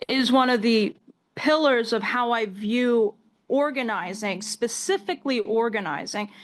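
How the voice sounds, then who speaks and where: Young american woman, kinda high voice, activist guest chatting in an interview, leans on phrases by repeating them. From New York State, US. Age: 20s. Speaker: activist guest, community organizer. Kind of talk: conversational interview answers.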